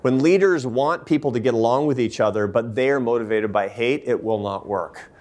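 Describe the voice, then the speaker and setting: A man at an ordinary middle pitch fields questions from the audience after his talk. He speaks earnestly and with emphasis. He often catches himself and restarts a phrase. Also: fairly quick, darkish tone. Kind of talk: lecture Q&A answers.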